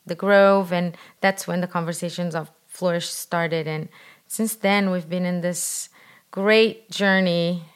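A woman from Brazil with a Brazilian accent, leading a mentoring program and talking earnestly on a podcast, a little halting. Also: on the low side, steady tone, clear, resonant tone. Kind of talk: podcast conversation.

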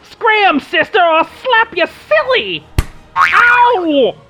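A crude, bullying crook put on by a man yelps in a very high, swooping voice. His delivery is exclamatory and slangy, in the manner of a comic-book radio play. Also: very animated, slow pace, creaky, pushed and bright, soft background music, heavily acted. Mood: bullying, yelping. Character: yelping male gangster henchman, crude and bullying. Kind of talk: amateur radio theatre reading of a comic book.

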